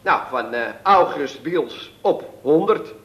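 An actor playing a glib young nephew in a 1960s radio comedy, explaining things in a smooth deadpan way. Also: male voice, a little high, slow.

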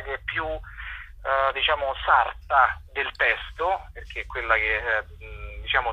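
A man, an actor and director giving an informal interview as a guest, expressive and halting as he explains things with homely examples. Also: on the high side, slightly rough, poor audio.

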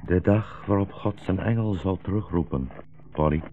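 A dignified old rabbi in a 1960s radio play. His voice is very low and his phrasing measured and formal, with protective earnestness. The sound is narrow and dull, like an old broadcast. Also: a little hiss or hum. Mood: gentle, solemn. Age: older.

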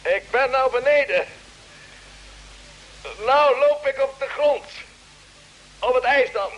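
A man's voice: very high-pitched, slow, fairly bright tone, lo-fi sound, heavily acted. A man playing a composed, practical space expedition commander in an old radio drama. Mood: pleased, calm.

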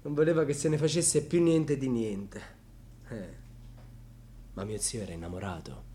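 An actor playing a proud, wounded, defiant grandson in a dramatic radio monologue, with a husky voice. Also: male voice, medium pitch, normal speed, lightly acted. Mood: bitter, brooding. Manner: dramatic, swinging from hushed confiding to fierce outbursts.

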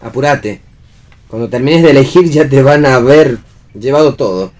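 An Argentine actor playing a wry, bantering husband, fond but sceptical, in a radio play reading. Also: male voice, mid-range voice, moderate pace, darkish tone, close to an everyday voice. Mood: dry, ironic.

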